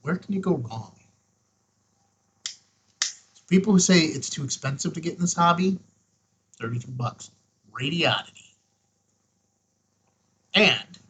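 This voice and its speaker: Man with a bright, slightly raspy voice. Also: a little high, rough recording. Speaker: host of a ham radio review show. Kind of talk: casual hands-on product review to camera.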